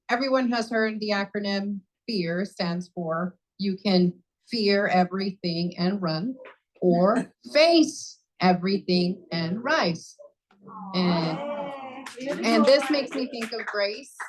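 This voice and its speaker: A woman's voice: medium pitch, takes it slowly, low-quality recording. A woman acting as topic master, running a club meeting in an upbeat way.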